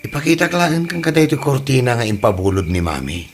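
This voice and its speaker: A man's voice: medium pitch, sing-song, fast, faint music behind, close to an everyday voice. A man playing a meek, whiny, henpecked mama's boy husband in a comedy drama, stammering and exclaiming as he goes. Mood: hesitant. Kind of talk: Ilocano comedy drama acting.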